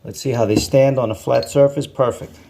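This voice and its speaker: A man's voice: medium pitch, fairly even intonation, fairly quick, gravelly, mellow. An American man hosting a casual product review, talking through it informally as he goes. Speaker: host of a radio review channel.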